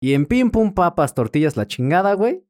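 A man's voice: high-pitched, very animated, a little fast, darkish tone. A young Mexican man co-hosting a comedic political podcast, playful and profane, prone to exaggerated outbursts. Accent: Mexican Spanish. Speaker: podcast co-host. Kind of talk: casual comedic political chat.

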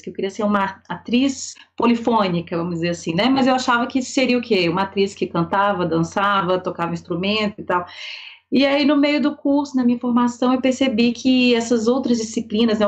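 A woman's voice: mid-range voice, muffled recording. A woman in her forties with a Minas Gerais accent, a costume designer speaking on an online panel over a video call, thoughtful and full of fillers and restarts. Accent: Minas Gerais Brazilian Portuguese. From Brazil. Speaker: costume designer and theater teacher.

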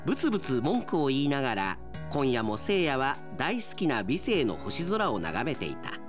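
A man performing as an earnest radio drama narrator, with measured, dramatic scripted delivery. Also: mid-range voice, sing-song, music in the background, close to an everyday voice. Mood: wry, measured.

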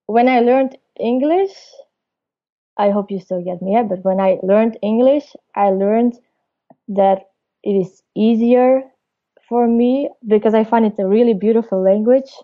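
A woman's voice: on the high side, measured pace. A young woman in her twenties from Belgium, answering interview questions. She speaks hesitantly and searches for her words. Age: 20s. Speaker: storyteller guest. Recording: dull lo-fi audio.

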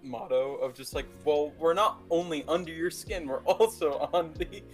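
A man's voice: fairly high pitch, normal speed, faint music behind. A man co-hosting a podcast in casual, joking banter with a playfully teasing manner. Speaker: podcast co-host.

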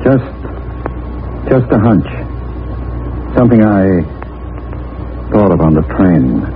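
A man's voice: low voice, sing-song, takes it slowly, a little hiss or hum, exaggerated voice. An actor playing an earnest, determined young man, polite but urgent and insistent, in a 1940s radio drama. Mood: intent. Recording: tinny old radio recording with organ music.

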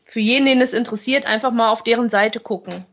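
A woman's voice: on the high side, fast talker. A woman, chatting casually as a podcast co-host.

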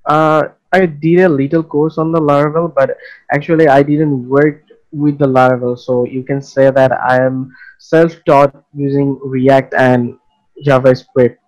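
A man's voice: medium pitch, little pitch movement, unhurried, darkish tone, lo-fi sound. A young adult man with a Bangladeshi accent, answering casually as an interview guest. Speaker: interview guest, freelance web developer.

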